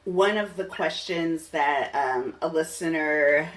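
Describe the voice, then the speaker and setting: A woman with a low-pitched voice hosts a casual interview podcast. She talks with open enthusiasm and sounds somewhat distant from the microphone. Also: takes it slowly. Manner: enthusiastic, laughs often. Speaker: podcast host.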